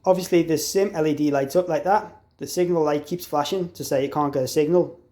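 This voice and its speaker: A man with a slightly higher-than-average voice walks through a hands-on installation tutorial. He explains in an informal, practical way, like an installer showing a product. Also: a little fast, mellow. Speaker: installer demonstrating an intercom product.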